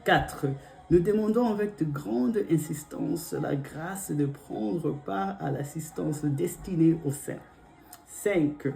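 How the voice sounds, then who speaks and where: A young man who preaches as a pastor in French, his second language, with an English-speaking accent, speaking haltingly with many fillers and repeated invocations during prayer and scripture reading. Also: a little high, vocal fry, mellow, faint music behind. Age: young adult. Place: church.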